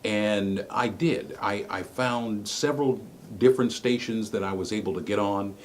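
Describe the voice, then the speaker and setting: A middle-aged man with a smooth broadcaster's voice, telling his personal story in an earnest, emphatic way that repeats phrases for effect. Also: on the low side, moderate pace, slight background noise. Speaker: former radio broadcaster. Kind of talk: personal story and plea to camera.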